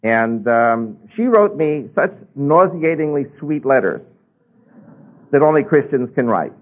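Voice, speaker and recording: A man's voice: mid-range voice, animated, a little slow, phone-quality sound. An older man with an American accent, a native Spanish speaker, preaching a sermon and telling his own story, with frequent ums and uhs. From United States.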